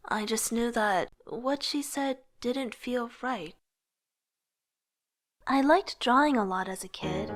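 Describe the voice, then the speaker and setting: A woman's voice: a little high, natural voice. A woman playing a rebellious, wistful young artist who tells her own life story in earnest scripted narration and dialogue. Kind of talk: scripted first-person narration with acted dialogue.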